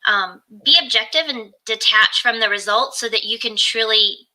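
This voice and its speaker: Bright, soft-spoken woman coach. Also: fairly high pitch, fairly even intonation, moderate pace, low-quality recording. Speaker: guest, business coach. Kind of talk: casual video-call interview. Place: home.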